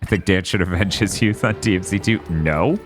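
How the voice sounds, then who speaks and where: A man's voice: low voice, moderate pace, music in the background. Joking American-raised male streamer. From United States. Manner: animated, joking, swears and laughs often. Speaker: streamer host. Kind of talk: casual chat over gameplay.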